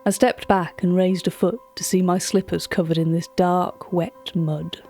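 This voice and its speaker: A woman performing a polite, curious auction curator. Her voice sits low and soft-edged, with music underneath. She narrates eerie audio fiction deliberately, in measured literary phrases. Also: fairly even intonation. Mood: hushed wonder. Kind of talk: scripted first-person narration.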